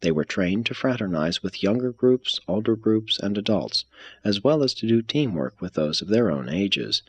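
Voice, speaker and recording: A man reading a religious text aloud as scripted narration, in a measured, formal manner. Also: fairly low pitch, big pitch swings, breathy edge. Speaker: reader.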